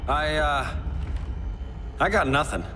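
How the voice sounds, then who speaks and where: An actor playing an earnest, wisecracking hero in a video game cutscene. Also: male voice, on the high side, takes it slowly, noisy background. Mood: wry. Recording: music underneath.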